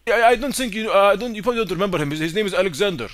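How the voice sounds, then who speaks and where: A man's voice: high-pitched, lively intonation, fairly bright tone, no room sound, voice pushed up. A man doing a jittery young Egyptian newcomer. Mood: pleading. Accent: put-on Egyptian. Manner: stammering, apologetic, rapid restarts. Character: nervous young Egyptian man, newly arrived, polite.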